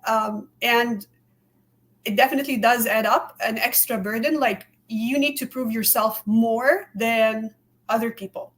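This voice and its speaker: Earnest woman with a higher voice. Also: measured pace. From immigrant to Canada. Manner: earnest, repeats words and hedges often. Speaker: podcast guest. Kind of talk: candid conversational interview.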